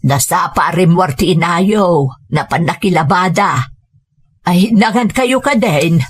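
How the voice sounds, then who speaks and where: Husky, reproachful Ilocano grandmother played by a woman. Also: lowish voice, slow pace. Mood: exasperated concern. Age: older. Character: scolding, worried grandmother and mother-in-law in a village family. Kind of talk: Ilocano audio drama acting.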